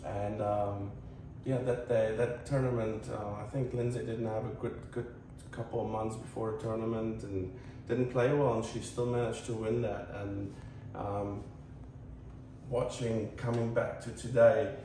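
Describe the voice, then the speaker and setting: Man, Croatian accent, very even intonation, rambling casual vlog talk, earnest, halting, far from the mic with faint noise. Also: fairly low pitch, heavy reverb. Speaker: host of a tennis channel.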